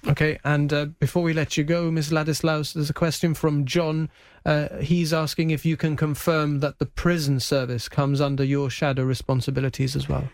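Guy hosting live radio, voice a bit high, chatty halting questions, very dry sound. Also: flat delivery, no echo. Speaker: radio and TV programme host. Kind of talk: live current affairs interviewing.

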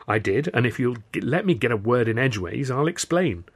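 A man voicing a sharp, teasing young mother in an audiobook, easily exasperated. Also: medium pitch, animated, a little fast, breathy edge. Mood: brisk, teasing. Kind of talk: audiobook character dialogue.

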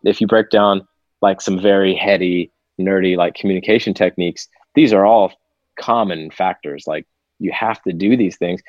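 A man's voice: sits low, fairly even intonation, moderate pace, dry recording. A man from Seattle, a podcast guest talking candidly and reflectively, sometimes swearing in casual conversation. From Seattle, USA. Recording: dull, lo-fi remote audio.

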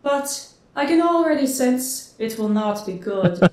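A woman's voice: sits high, expressive, unhurried, a little mellow, reverberant. A woman playing Madam Fortune, a cryptic fortune teller who gives wry, ominous answers. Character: cryptic female fortune teller, dry wit. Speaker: fortune teller on a radio fundraiser.

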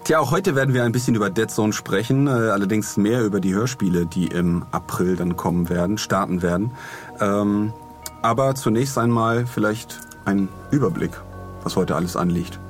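A man speaks in a low voice as a podcast co-host. He chats casually and jokes along as he goes. Light music plays behind him. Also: moderate pace. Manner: joking, bantering.

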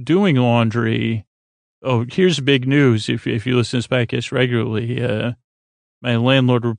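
Creaky, stammering man rambling softly along. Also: medium pitch, dry recording. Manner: meandering, halting, stammering, trails off mid-thought; acts out imagined back-and-forth dialogue. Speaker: podcast host. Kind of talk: rambling bedtime monologue.

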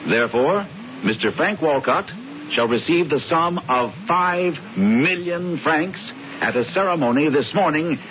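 A man's voice: on the high side, animated, a little slow. An actor playing a pompous, matter-of-fact man making formal declarations in a radio drama. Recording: dull, slightly noisy vintage radio broadcast.

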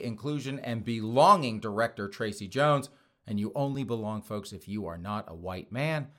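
Hoarse male host, sarcastic, laughs through his lines, mid-pitched voice, casual mocking commentary. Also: a bit bright. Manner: sarcastic, laughs often between phrases. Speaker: podcast host.

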